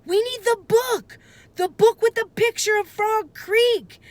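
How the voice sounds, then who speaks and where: A woman voicing an excited, frightened young boy adventurer in a storybook read-aloud, with urgent exclamations. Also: very high voice, a little slow, dry recording, voice pushed up. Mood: urgent, panicked.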